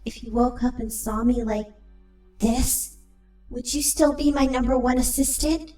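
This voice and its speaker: Woman acting a tender young pony sorceress, higher-pitched. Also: a little slow, dark tone, faint music behind, low-quality recording. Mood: tender, wistful. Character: young female pony sorceress, secretly a changeling, tender and wistful. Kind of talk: audio drama character acting.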